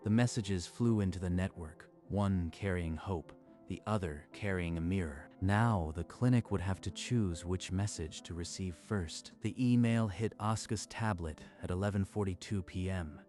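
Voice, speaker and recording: A man narrating a scripted story in a measured, even manner. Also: deep voice, soft background music.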